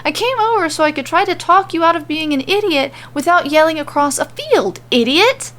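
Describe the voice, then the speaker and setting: A woman's voice: extremely high pitch, expressive, moderate pace, fairly bright tone, pitched above the performer's own voice. Blunt, fed-up fantasy heroine, read by a woman. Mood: furious, yelling. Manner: blunt, sarcastic, snapping. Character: exhausted, angry, sharp-tongued woman wizard captive.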